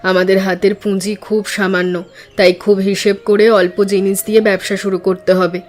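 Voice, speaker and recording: A woman voicing a practical, caring village mother in a cartoon story, speaking in an earnest, measured way. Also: medium pitch, little pitch movement, darkish tone, lightly acted.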